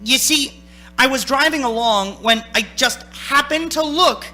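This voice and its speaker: Anxious haunted driver in a radio drama, high bright voice, dramatic, music behind. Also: male voice, measured pace, full character voice. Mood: desperate. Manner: dramatic, wordy, nervous stammering. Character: haunted, anxious young man driving cross-country. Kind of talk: radio play acting, narrating and in scenes. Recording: stage radio play with music.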